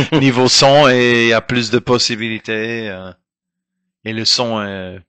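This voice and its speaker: Guy talking slow in french, bright edgy voice, keeps restarting and hunting for words. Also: mid-range voice, fairly even intonation, smooth. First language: German. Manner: hesitant, searching for words with many restarts. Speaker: guest musician. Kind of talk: casual interview talk.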